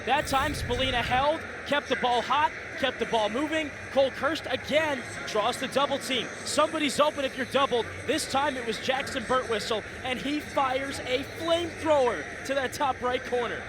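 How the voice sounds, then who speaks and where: A man's voice: very high voice, moderate pace, few pauses. An American man giving live lacrosse analysis as color commentator, with colorful, emphatic phrasing. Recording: very loud game noise behind commentary.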